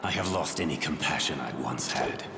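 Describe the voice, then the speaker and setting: An actor playing a resentful walking skeleton from the land of the dead in a video game, brooding and ominous in archaic speech. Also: male voice, rumbling low, expressive, normal speed, background noise. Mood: bitter. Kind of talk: dramatic video game voice acting. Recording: voice with reverb under loud music.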